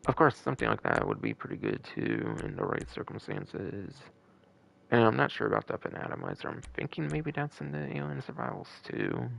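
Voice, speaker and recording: A man's voice: on the low side, big pitch swings, brisk, vocal fry, fairly bright tone. A man hosting a gaming live stream with casual, rambling, repetitive commentary and the odd swear word. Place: a room at home.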